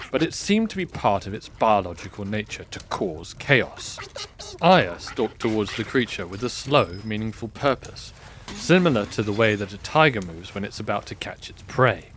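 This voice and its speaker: A man narrating a scripted fantasy audio drama in a measured, expressive storybook style. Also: on the low side, background noise. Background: music.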